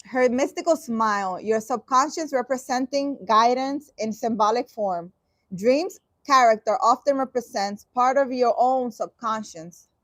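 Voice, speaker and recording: Sarcastic, exasperated woman with a high voice. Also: no echo. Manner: halting, restarting sentences. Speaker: spiritual vlogger. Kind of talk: reading a dream interpretation aloud and commenting.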